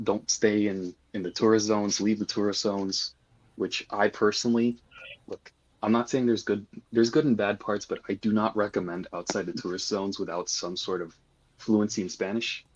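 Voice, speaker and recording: Husky low-voiced man, dull sound. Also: little pitch movement, moderate pace. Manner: earnest, cautionary. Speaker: guest. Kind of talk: casual discussion.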